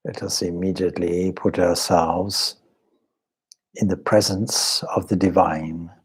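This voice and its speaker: A man leading a guided meditation as a teacher, speaking in measured, deliberate short phrases with pauses and a soft, husky voice. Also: sits low, little pitch movement. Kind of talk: guided meditation, slow spoken instruction.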